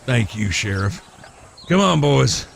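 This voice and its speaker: An actor playing a gruff, protective eldest brother of Old West gunslingers, with a folksy American drawl and an authoritative way of talking. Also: male voice, medium pitch, sing-song, takes it slowly, a little hiss or hum, heavily acted. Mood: calm, reasoning. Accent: American, Southern or Western drawl. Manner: authoritative, folksy, plain-spoken. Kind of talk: Western audio drama acting.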